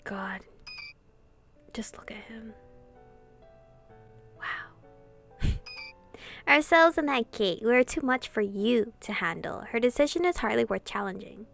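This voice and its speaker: Higher-voiced expressive woman over game music. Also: slow, vocal fry, pauses often. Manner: expressive, foul-mouthed, theatrical reactions. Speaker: let's-play host. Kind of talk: reading visual novel dialogue aloud with live reactions.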